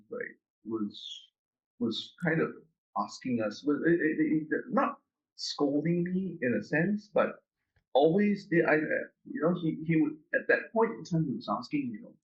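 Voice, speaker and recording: A man in an interview, talking through his research in a halting way, often restarting and repeating words. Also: on the high side, very animated, dull audio. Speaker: researcher guest. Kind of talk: conversational interview.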